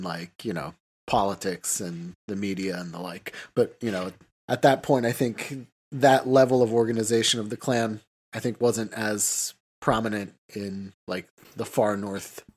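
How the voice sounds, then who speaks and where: A man's voice: fairly low pitch, fairly even intonation, moderate pace. A man co-hosting a podcast, talking casually and analytically in a discursive, hedging way. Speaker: podcast co-host.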